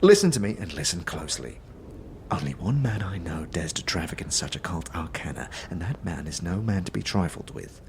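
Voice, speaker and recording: A man's voice: low-pitched, sing-song, brisk, slight background noise, voice pushed down. A man voicing a chirpy, theatrical tavern keeper in a fantasy audio drama, florid, hesitant and conspiratorial. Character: chirpy, theatrical male tavern keeper with flair for spooky tales.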